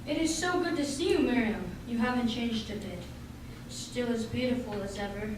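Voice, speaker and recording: In a very reverberant hall, away from the mic, a woman acts out a gracious reunion host, a gentleman with a high-set voice. He speaks formally and theatrically, with polite barbs, in a staged radio play over clear noise. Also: moderate pace, recorded from a distance, close to an everyday voice. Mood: polite, barbed. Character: Peter Edwards, successful former schoolboy, gracious reunion host with barbed tongue. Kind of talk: stage radio drama acting.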